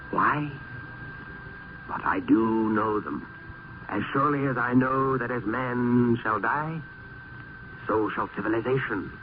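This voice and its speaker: An actor playing a grave philosophical friend and narrator in a vintage radio play, with solemn, florid, oratorical delivery. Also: male voice, mid-range voice, natural voice. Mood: grave, prophetic. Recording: tinny, noisy old radio recording.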